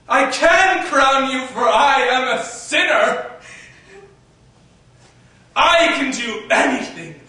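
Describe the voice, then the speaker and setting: An actor playing a domineering, cruel old king, with a theatrical delivery that swings between booming shouts and low, menacing mutters. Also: exaggerated voice. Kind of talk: stage drama acting. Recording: echoey, distant stage recording with faint noise.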